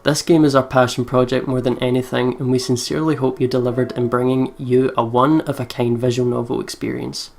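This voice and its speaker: Expressive young woman hosting a game playthrough. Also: very deep voice, fairly quick, creaky, hardly any pauses. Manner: expressive, sweary, exclaiming. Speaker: let's play host. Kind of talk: game commentary, casual chat.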